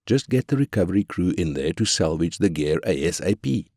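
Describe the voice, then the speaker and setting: Very deep-voiced, ruthless tycoon. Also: male voice, lively intonation, fairly quick, a little mellow, no echo, studio quality. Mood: irritated, callous. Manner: brash, cynical, commanding. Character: ruthless, arrogant South African corporate tycoon. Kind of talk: scripted game character quotes.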